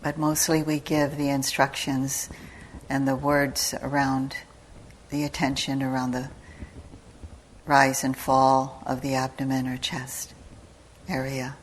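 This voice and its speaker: A woman teacher giving guided meditation instructions in a calm, measured manner, with long pauses between short phrases. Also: very deep voice, a little hiss or hum, rough recording. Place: meditation retreat hall.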